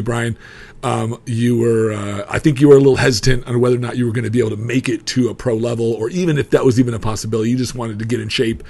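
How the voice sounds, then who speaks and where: A man's voice: medium pitch, darkish tone. A man in his forties hosting a casual interview as a diet coach, rambling and restarting his sentences. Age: 40s.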